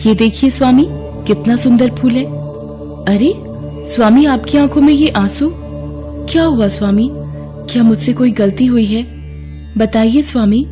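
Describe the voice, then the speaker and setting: A woman's voice: a little high, normal speed, pauses often, music in the background, voice pushed up, natural voice. A woman voicing a devoted, sweet and eager goddess wife in a devotional story, respectful in manner. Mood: delighted, then anxious, contrite. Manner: respectful, pleading, wonder-struck. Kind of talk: devotional story dramatization in Hindi.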